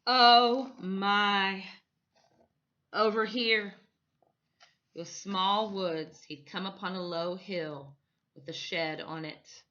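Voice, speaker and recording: A woman reading a children's story expressively, with dramatic, deliberate phrasing, on a slightly dull recording. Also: fairly low pitch, fairly even intonation, fairly bright tone. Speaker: storytime reader.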